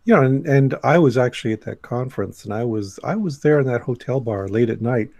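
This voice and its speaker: Male guest, mid-pitched with plenty of fry, conversational delivery. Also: a little fast, warm tone, dry recording. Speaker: podcast guest. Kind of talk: casual discussion.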